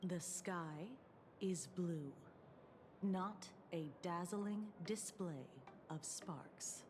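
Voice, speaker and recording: A woman voicing a composed adult female defense officer in a game, speaking in a measured, formal way over game music. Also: fairly low pitch, darkish tone, slight background noise. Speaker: game character. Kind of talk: dubbed game dialogue.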